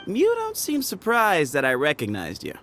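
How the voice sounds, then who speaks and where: A sly desert gang leader teases and toys with the people he meets. His voice is a little gravelly and bounces up and down in a mocking sing-song. There is a faint hiss behind him. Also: fairly high pitch, wildly swooping pitch, normal speed, a bit bright, heavily acted. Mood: teasing. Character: sly, teasing man who leads a hidden desert band. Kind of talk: video game cutscene voice acting.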